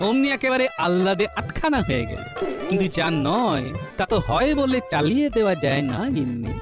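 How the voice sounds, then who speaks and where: A man's voice: high-pitched, sing-song, a little fast, over music. A man voicing a shrewd, witty village jester in a cartoon, speaking in a wry, teasing, lecturing way.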